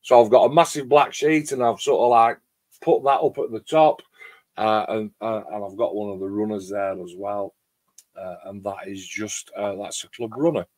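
A middle-aged man with a loud voice, chatting casually and in a rambling way as host of a hobby livestream. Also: lowish voice, steady tone, moderate pace, no echo. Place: home garage workshop shed.

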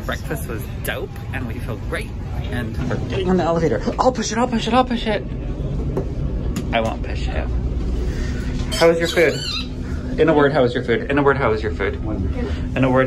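A man's voice: medium pitch, big pitch swings, fairly quick, pauses often. A man in his thirties vlogging a family trip outdoors, talking in a gushing, playful, chatty way into a handheld camera. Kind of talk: casual travel vlog. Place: outdoors at a sunny cruise port.

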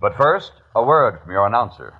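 A man's voice: lowish voice, sing-song, normal speed. A man announcing a 1950s radio drama in a dramatic, portentous manner. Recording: muffled old radio recording over loud music. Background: loud dramatic music.

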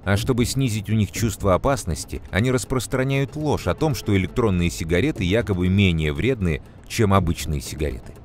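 A man playing a calm, knowledgeable mentor who explains things to children in an educational cartoon, measured and clearly enunciated. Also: on the low side, a little hiss or hum, natural voice. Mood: earnest, explanatory. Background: music.

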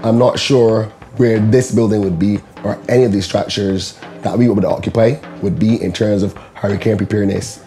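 A man from Barbados speaks in a low, mellow voice. He is measured and reflective as he talks about his organization. Light music sits faintly behind him. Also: steady tone. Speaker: executive director. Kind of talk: documentary interview.

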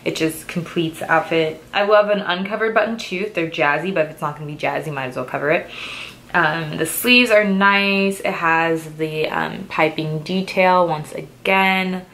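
A woman's voice: low voice, normal speed, a bit bright. A woman in her twenties chatting casually through a clothing try-on haul, a little stuffy from a cold and full of "like" and "um" fillers. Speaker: fashion vlogger.

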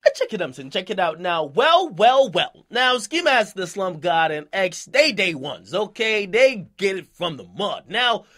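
A man's voice: high-pitched, big pitch swings, a little slow, hardly any pauses, bright, forceful tone. A man hosting an opinionated, slangy hip-hop commentary, speaking emphatically and punctuating his points with checking questions. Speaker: commentary show host.

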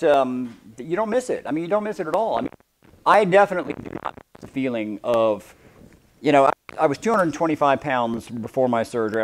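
A man's voice: on the high side, darkish tone, rough recording. A man in his forties, a chef talking casually and ramblingly to a live audience while cooking in a large demo kitchen, picked up from across the room. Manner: chatty, rambling, self-deprecating.

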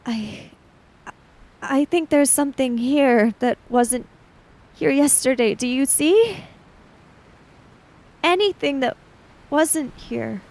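A woman voicing a frantic, guilt-ridden young woman in a tabletop game, halting and stammering desperately. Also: high voice, a little mellow, voice pushed up.

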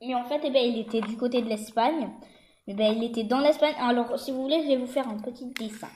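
A woman voicing an excitable, slangy young reality-show contestant who rambles and repeats herself insistently in a toy story. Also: on the high side, fast talker, lightly acted. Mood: explaining insistently. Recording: echoey, noisy homemade recording with clicks.

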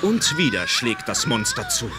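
A man's voice: medium pitch, wildly swooping pitch, unhurried. A man reading scripted narration for an audio drama. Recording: loud music under the voice.

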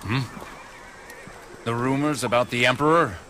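An actor playing a stoic, battle-hardened warrior general in a video game, speaking in a grave, measured way. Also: male voice, medium pitch, pushed and bright, slight background noise. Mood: grave, resolute. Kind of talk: dramatic English video game dub acting.

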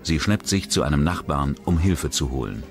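A man's voice: extremely low pitch, expressive, moderate pace. A man reads a scripted true-crime documentary narration. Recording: music bed behind the voice. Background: background music.

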